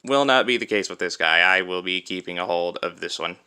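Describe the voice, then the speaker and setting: A man's voice: low voice, a little fast, pushed and bright, clean tone. A man giving a casual hands-on product review in an informal, conversational way, thinking aloud as he demonstrates. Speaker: toy reviewer and host.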